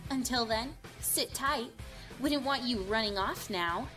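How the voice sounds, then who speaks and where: Smug young female pony villain played by a woman, high voice, taunting and theatrical, audio drama over music. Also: measured pace, close to an everyday voice. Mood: mocking. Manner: mocking, theatrical. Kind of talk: fan audio drama acting.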